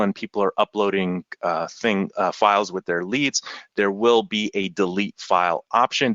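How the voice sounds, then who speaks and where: Male webinar host, low voice, loose delivery with many "uh"s, dry lo-fi sound. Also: no echo. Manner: relaxed, with frequent "uh" fillers. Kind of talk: software training webinar.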